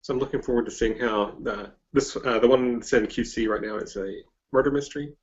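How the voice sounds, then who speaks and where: An American man hosts a relaxed podcast conversation. His voice is medium in pitch, and he speaks informally, feeling his way through sentences. The recording is a little dull and lo-fi. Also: a little fast. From southern US or Mexico border region (Texas, Oklahoma area). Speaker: podcast host and interviewer. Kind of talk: casual interview chat.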